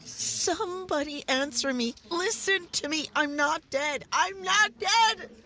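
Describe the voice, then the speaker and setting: A woman's voice: extremely high pitch, takes it slowly, pushed and bright, heavily acted. A woman playing a young bride-to-be in a 1930s-style radio horror drama, with theatrical, emotional delivery. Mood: desperate, pleading. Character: young bride-to-be, playful, then desperate while presumed dead.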